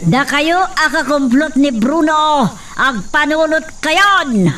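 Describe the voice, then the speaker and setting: A woman's voice: high voice, animated, slow pace, a bit bright, recorded from a distance, heavily acted. A woman with an Ilocano accent playing a domineering rich señora who threatens her workers in a radio drama. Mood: menacing.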